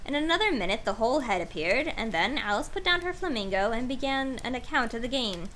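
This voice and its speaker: A woman's voice: on the high side, big pitch swings, a little fast, a bit bright, a little hiss or hum. A woman reading a storybook aloud on a livestream, dropping in casual, slangy, self-deprecating asides. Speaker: host reading a storybook to a live audience.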